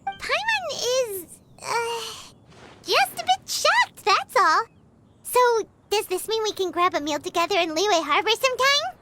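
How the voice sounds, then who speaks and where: A woman's voice: extremely high pitch, sing-song, a little slow, pushed and bright, full character voice. A woman voicing a tiny, bubbly floating companion creature in a video game, eager and chatty. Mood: sheepish. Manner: bubbly, eager, speaks of herself by name.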